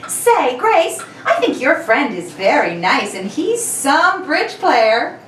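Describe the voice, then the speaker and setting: A woman playing a nervous but plucky young single lady in a 1930s radio play, exclamatory and expressive. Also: high-pitched, slow, heavily acted. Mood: chatty. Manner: chatty, exclamatory, defiant when pressed. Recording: roomy, distant mic, faint noise.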